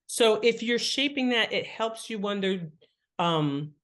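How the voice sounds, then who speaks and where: A woman talking as an author and interview guest, explaining writing craft in an animated, enthusiastic way. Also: medium pitch, a little slow.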